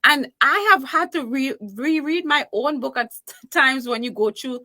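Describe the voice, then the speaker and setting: A woman from Trinidad and Tobago chats as a podcast guest, her voice bright and fairly high. She talks in a lively, emphatic way and laughs often as she tells her story. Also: moderate pace. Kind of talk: casual interview chat.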